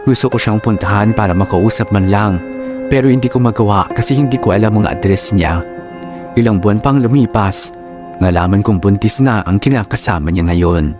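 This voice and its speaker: Guy doing sad filipino radio drama narrator, lowish voice, telling a heartbreak story, music clearly behind him. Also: a little fast, lightly acted. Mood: sorrowful, reflective. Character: sorrowful narrator reading a heartbroken letter sender's story.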